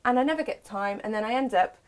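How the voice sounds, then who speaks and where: A loud, bubbly woman co-hosting a live craft shopping show, chatting and laughing often. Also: a little high, fast, poor audio.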